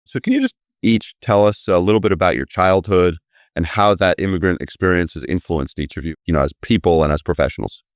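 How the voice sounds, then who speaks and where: Low-voiced male podcast host, dry mic. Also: brisk, no echo. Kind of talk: conversational interview.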